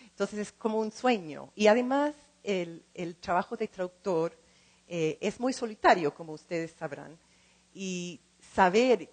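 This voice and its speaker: Halting middle-aged woman, non-native speaker. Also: on the low side. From lives in California. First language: not Spanish, speaks it as a second language. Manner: halting, searching for words, repeats words. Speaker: translator and program co-director. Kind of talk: informal public talk.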